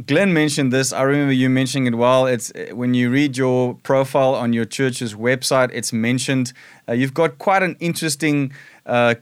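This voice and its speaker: A man hosting a casual interview, chatty, laughing often and asking halting questions. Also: medium pitch, clear, resonant tone, dry recording, clean studio sound. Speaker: interview host.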